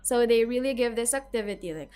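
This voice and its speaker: A woman's voice: fairly high pitch, lively intonation, fast talker, a little mellow, clear, resonant tone. A young woman from the Philippines, a student whose first language is Filipino, chatting casually in an interview with lots of "like" fillers. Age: young adult. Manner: chatty, with many "like" fillers and light laughs. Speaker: guest student.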